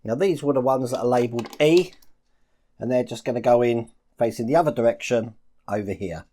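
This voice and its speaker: A man's voice: mid-range voice, brisk, mellow. A man chatting casually through a step-by-step build tutorial, explaining each move as he works. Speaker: host of a model-building channel.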